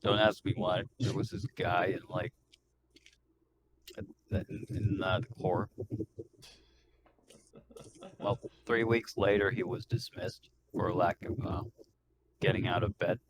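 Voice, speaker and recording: A man's voice: deep voice, steady tone, unhurried. A man chatting casually as a player in a tabletop roleplaying game. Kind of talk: casual chat. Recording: clear background noise.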